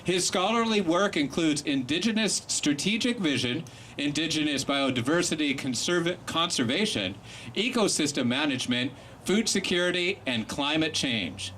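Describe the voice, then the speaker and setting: A man's voice: on the high side, animated, moderate pace, a bit bright, rough recording. A man reading out a formal introduction at a public event, stumbling a little over long words. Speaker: event announcer introducing a speaker. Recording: faint outdoor event background noise.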